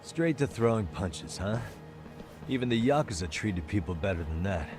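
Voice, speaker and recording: A man's voice: sits low, sing-song, moderate pace. An actor voicing a wry young male private detective in a video game, dry and sardonic. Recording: game audio with music behind.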